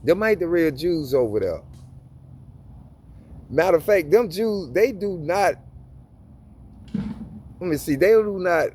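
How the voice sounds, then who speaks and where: A man's voice: high-pitched, expressive, takes it slowly, long pauses, darkish tone, a little hiss or hum. A man giving informal Bible reading and commentary in a casual preaching manner, often restarting and repeating words. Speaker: Bible teacher.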